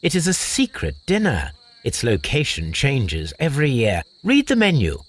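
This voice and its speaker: An actor playing a brilliant, eccentric Victorian English detective, formal, precise and dryly teasing, in a video game. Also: male voice, medium pitch, wildly swooping pitch, normal speed. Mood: wryly amused.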